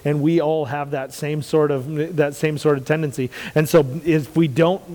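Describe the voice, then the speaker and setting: A man from the United States with a loud, carrying voice, talking earnestly in a casual faith talk and repeating phrases for emphasis. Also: a little high, fairly even intonation, moderate pace, big-room sound.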